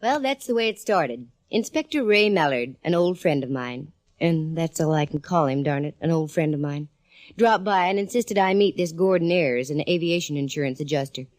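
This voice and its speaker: An actress playing a sassy, wisecracking young woman private eye in a 1940s radio drama, teasing and quick with comebacks. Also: low voice, lively intonation, darkish tone, dry recording, clean studio sound. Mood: wry. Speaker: private eye lead and narrator. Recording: old radio broadcast with faint hiss.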